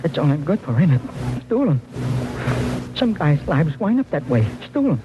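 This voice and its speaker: An actor playing a broken, fearful alcoholic informant in a 1950s radio crime drama, halting, repetitive and slurred. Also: male voice, a little high, big pitch swings. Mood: haunted. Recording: muffled old radio recording, music behind.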